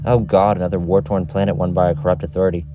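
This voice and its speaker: A man voicing a principled, idealistic outcast vigilante leader in an amateur animated series, giving earnest little speeches. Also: sits low, quick pace, music in the background, natural voice. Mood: resolute.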